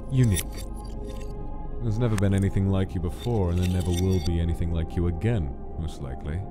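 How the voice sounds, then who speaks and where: An actor playing a cold, weary male monster scientist in a dramatic comic dub, speaking in a measured, dismissive way. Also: sits low, close to an everyday voice. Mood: clinical, detached. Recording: music behind the voice.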